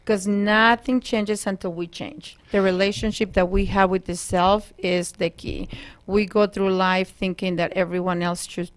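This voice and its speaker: A woman spiritual coach, a guest on a talk show, explaining her work in an earnest, reflective way. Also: medium pitch.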